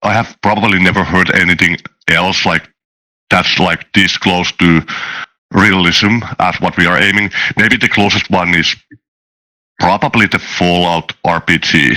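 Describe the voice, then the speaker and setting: A man chatting casually as a guest in an interview, talking in a halting, rambling way. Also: deep voice, steady tone, sharp tone, rough recording. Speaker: guest, tabletop game designer and dungeon master. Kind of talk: casual chat, interview answers.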